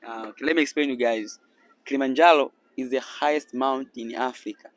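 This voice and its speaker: A young man from Tanzania with a Tanzanian accent, hosting a casual street interview outdoors with a handheld mic and explaining things slowly in broken English. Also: mid-range voice, a little hiss or hum. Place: sunny beach boardwalk outdoors.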